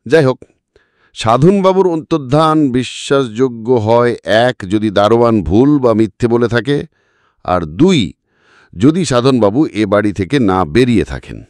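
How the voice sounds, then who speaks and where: A confident male detective played by a man reading a story, methodical and pressing. The pitch swings widely as he drives each point home, and the recording is close and dry. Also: expressive, unhurried, a little mellow, no room sound, lightly acted. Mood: firm. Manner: methodical, emphatic, accusing. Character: confident male private detective laying out a murder case. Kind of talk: dramatic audiobook character reading.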